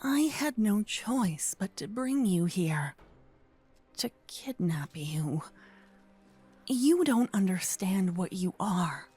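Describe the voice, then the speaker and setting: A soft, mellow female vampire, acted by a woman, murmurs right into the ear in breathy half-whispers. She is slow and intimate, a gentle yet possessive captor who earnestly insists she is a protector. It is close binaural ASMR roleplay over music. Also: medium pitch, big pitch swings, heavily acted. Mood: urgent, earnest. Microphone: close binaural microphone, ear to ear.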